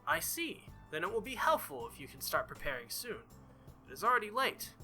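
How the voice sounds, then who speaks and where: A man's voice: high-pitched, wildly swooping pitch, brisk, bright, forceful tone, soft background music, voice pushed up, exaggerated voice. A man voicing a polite, serious, reserved young swordswoman from a visual novel, with formal wording.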